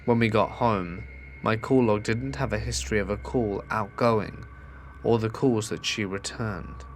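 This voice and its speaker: A man narrating true ghost stories in a steady storytelling delivery, reading submitted accounts. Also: on the low side, measured pace, slight background noise.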